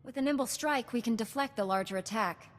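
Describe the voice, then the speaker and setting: An actress playing a confident female warrior monk in a video game, calm, assured and formal. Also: a little high, a little fast, natural voice. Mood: calm, resolute. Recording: faint music behind the voice.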